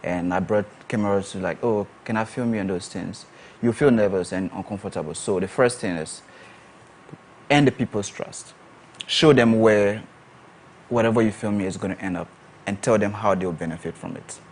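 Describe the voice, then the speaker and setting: Low-voiced man chatting as a guest. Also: normal speed, slight background noise. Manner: relaxed, laughs easily, explains with examples. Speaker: guest content creator. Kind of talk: casual interview chat. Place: TV studio.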